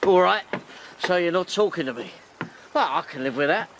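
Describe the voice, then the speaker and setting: An actor playing a rough, hard-drinking riverboat captain in a radio drama, with slangy, sarcastic speech. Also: male voice, high-pitched, lively intonation, moderate pace, background noise. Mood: grumbling.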